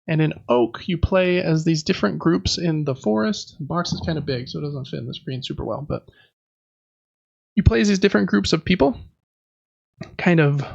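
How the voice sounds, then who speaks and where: A man's voice: a little high, gravelly, dry recording, dull audio. A man co-hosting a casual podcast, describing board games in an informal, hesitant, self-deprecating way. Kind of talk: casual show-and-tell chat about board games.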